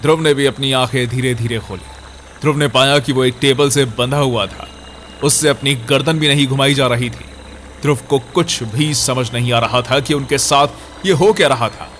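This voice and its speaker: A man's voice: medium pitch, moderate pace, fairly bright tone, a little hiss or hum. A man narrating a scripted horror story for an audio drama.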